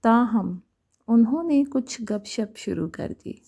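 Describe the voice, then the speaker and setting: A woman narrates a romantic story in a very dark, mellow voice. Her delivery is slow and scripted, with a creaky edge to a fairly high voice. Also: animated.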